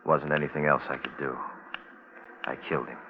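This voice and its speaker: Deep-voiced, grave Navy man in radio suspense. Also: steady tone, vocal fry, loud music behind the voice. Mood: grave, tense. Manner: clipped, grave, measured delivery. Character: terse Navy photographer man stranded alone on a bomb-test island. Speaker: lead actor and narrator. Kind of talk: radio suspense drama, first-person log narration. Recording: muffled, noisy vintage radio broadcast recording.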